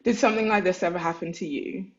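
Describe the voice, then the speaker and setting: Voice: medium pitch, steady tone, fast, dull audio. A lecturer giving an online talk about their own thesis, thinking aloud, searching for words, and reading their own letters aloud. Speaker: lecturer and artist.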